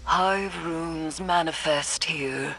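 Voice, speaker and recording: Slow, solemn occultist woman. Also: low voice, gravelly, noisy background, exaggerated voice. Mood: grim resolve. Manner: solemn, archaic, deliberate phrasing. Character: grim, cryptic occultist woman, resolute and ominous. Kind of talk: video game dramatic dialogue.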